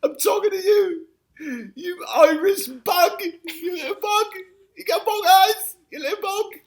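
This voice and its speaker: A woman's voice: very high-pitched, measured pace. A woman playing a swaggering, mocking teenage boy bully in an exaggerated comedic table read. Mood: taunting. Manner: taunting, exaggerated.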